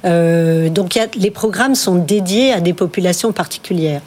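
A woman speaking as an interview guest, explaining mentoring in a steady, clear way. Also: fairly low pitch, normal speed.

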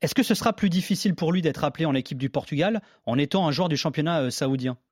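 Brisk male sports interviewer. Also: a little high, a little mellow, slightly rough, dry recording, studio quality. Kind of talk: broadcast interview questioning.

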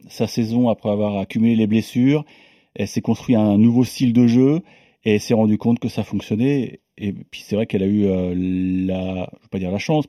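Lowish warm-voiced guy, stumbles and restarts a lot, dry sound. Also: dry recording. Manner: hesitant, many restarts. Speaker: tennis journalist guest. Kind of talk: casual podcast discussion.

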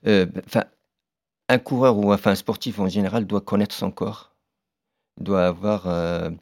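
A male marathon runner answers in a low, gravelly voice. He speaks haltingly, often pausing on fillers before finishing his thought. He sounds like a studio guest in a discussion. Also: steady tone, darkish tone, no room sound. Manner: halting, hesitant, with many fillers. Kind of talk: radio discussion.

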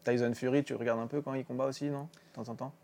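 A man hosting a podcast, asking questions and adding quick encouraging interjections. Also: medium pitch, level tone, crowd noise. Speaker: podcast host.

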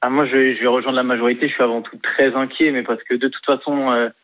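A man calling a radio phone-in as a football supporter, explaining his worries in a measured way over the phone. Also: medium pitch, level tone. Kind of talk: live phone-in opinion talk. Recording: tinny phone line to radio.

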